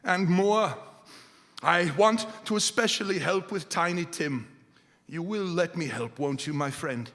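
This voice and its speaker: A man's voice: on the high side, measured pace, fairly bright tone. A man acting in live radio theater as an elderly miser turned giddy and generous, with a theatrical, effusive manner. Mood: joyful, generous. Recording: reverberant hall, live stage recording.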